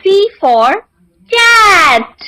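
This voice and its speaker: A woman narrating a children's alphabet lesson in a deliberate sing-song manner, enunciating each word. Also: extremely high pitch, very animated, bright, forceful tone.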